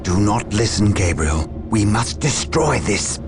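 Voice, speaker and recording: A man's voice: fairly low pitch, expressive, a little slow, over music. A man voicing a hard, suspicious zealot in a video game, speaking with grave, insistent distrust. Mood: distrustful, urgent. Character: hard, suspicious male zealot urging destruction of aliens. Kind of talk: dramatic video game acting.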